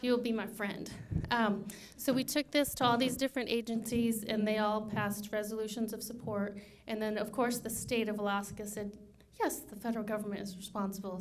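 Brief: woman, 30s, conference speaker, soft voice, persuasive and lively, podium mic in a meeting room. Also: a little high, a little hiss or hum. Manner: energetic, persuasive, rhetorical questions. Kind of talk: conference presentation. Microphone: podium microphone.

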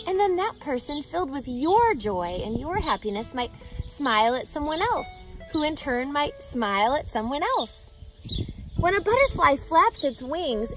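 A woman in her thirties reading a children's book aloud outdoors, with an expressive, teacherly delivery that emphasises key words. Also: high-pitched. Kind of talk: animated children's picture-book read-aloud. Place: outdoors, grassy lakeside yard. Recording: outdoor ambient background noise.

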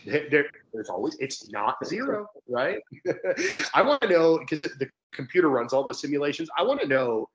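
A man's voice: fairly high pitch, very animated, normal speed, highs cut off. A young man, a sports commentator, talking through his points conversationally as a guest on a video-call interview. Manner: talkative, explanatory. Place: indoor room at home, video call.

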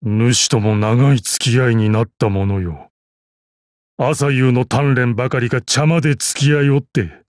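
A proud old fighting master from a video game, acted by a man, speaks in a medium-pitched voice with gruff dignity. His phrasing is archaic and dryly amused. The sound is dry, with no room around it. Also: fairly even intonation, a little slow, darkish tone, no echo, lightly acted. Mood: wry, fond. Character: proud, battle-hardened old martial arts master assassin. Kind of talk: Japanese mobile game character voice lines.

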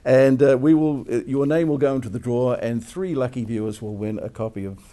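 A middle-aged man hosting a studio interview, asking brisk, friendly questions on a lapel mic. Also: medium pitch, fairly even intonation, warm tone. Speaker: TV host. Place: dark television studio.

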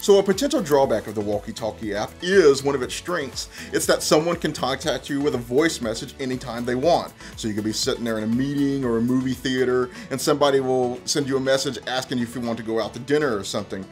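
A man's voice: medium pitch, fast, hardly any pauses, soft background music. A man in his thirties presenting a how-to tutorial in a conversational, step-by-step way. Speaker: tech presenter. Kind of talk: casual how-to tutorial narration.

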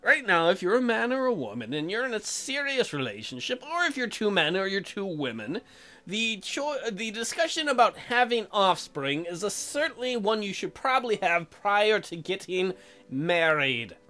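A man's voice: high-pitched, big pitch swings, moderate pace, sharp tone. A man hosting a let's play, reading game text aloud and adding joking, irreverent commentary.

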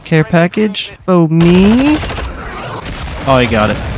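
A young man with a stuffy, slightly nasal voice from a cold, talking through his own game. He mutters low and then bursts into excited yelps, all over constant loud gunfire and game noise. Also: takes it slowly. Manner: reactive, swings from mutters to excited shouts. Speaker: gaming streamer. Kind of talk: casual gameplay commentary.

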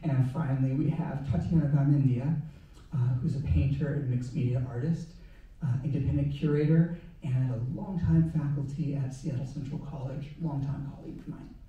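A man's voice: medium pitch, normal speed, far from the mic, lo-fi sound. A man moderating an art panel on a museum stage, speaking casually with many ums into a handheld mic. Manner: relaxed, many ums and uhs. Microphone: handheld mic, far camera. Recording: echoey hall, heard from afar.

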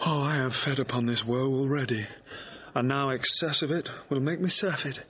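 An actor playing a passionate, lovesick young gentleman in a Shakespearean audio drama, declaiming in a plaintive, despairing way. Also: mid-range voice, steady tone, moderate pace, muffled recording, close to an everyday voice. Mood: bitter grief.

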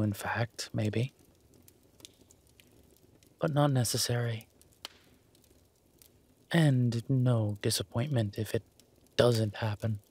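A man playing a tender, teasing, easygoing werewolf boyfriend in an intimate romantic audio roleplay, murmuring softly with a husky voice. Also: medium pitch.

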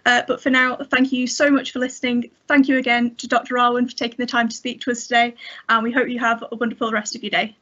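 A woman's voice: on the high side, flat delivery, fast, bright, forceful tone, lo-fi sound. A young woman hosting an online event, asking questions and reading friendly closing announcements over a headset on a video call. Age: young adult. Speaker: host. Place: indoors in a bedroom.

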